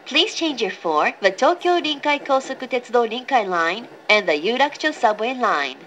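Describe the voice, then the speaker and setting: A woman reading a scripted, pre-recorded train announcement in a formal, clearly enunciated manner. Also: fairly high pitch, lively intonation, slow, fairly bright tone. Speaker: English-language train announcer. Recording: recorded aboard a train, clear background noise.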